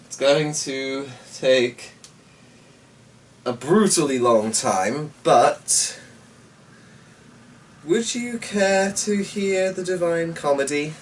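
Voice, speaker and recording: Slow, musing man, distant mic. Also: a little high. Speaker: host and story reader. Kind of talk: rambling solo chat and dramatic story narration. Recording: distant mic with faint background noise.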